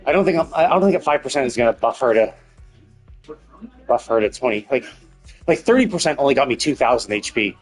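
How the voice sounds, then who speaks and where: A man streaming a game live, talking casually and haltingly as he works through numbers to himself. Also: medium pitch, animated, slightly rough, faint music behind. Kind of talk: casual gaming stream commentary, thinking aloud.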